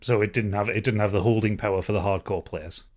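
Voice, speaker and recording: A man's voice: fairly low pitch, steady tone, fast. A man co-hosting a gaming podcast, reasoning through points in casual conversation. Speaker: podcast co-host.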